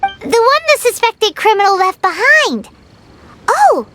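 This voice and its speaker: Casting: tiny cheeky fairy sidekick for a video game, extremely high childlike voice, very animated. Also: female voice, slow, a little hiss or hum, heavily acted. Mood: musing. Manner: refers to herself in third person, exclamatory. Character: small excitable childlike female fairy companion, chatty and cheeky.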